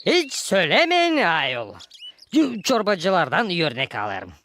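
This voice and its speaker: Slow, lively, teasing cartoon animal. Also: female voice, lowish voice, slight background noise, heavily acted. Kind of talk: children's cartoon acting.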